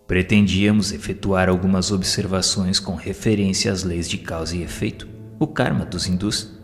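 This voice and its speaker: Low-voiced Brazilian man narrating in heavy reverb. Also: steady tone. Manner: formal, measured literary reading. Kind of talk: solemn scripted audio-novel narration. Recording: long hall reverb, music underneath. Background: background music.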